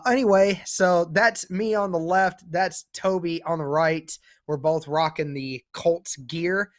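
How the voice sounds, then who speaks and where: A young man with a sore throat hosting a casual sports podcast, upbeat and leading the talk. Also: a little high. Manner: upbeat, leads the discussion. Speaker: podcast host.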